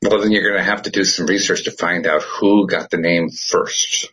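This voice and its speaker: Male host, low raspy voice, lively, wry and teasing. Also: normal speed, poor audio. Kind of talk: casual chat.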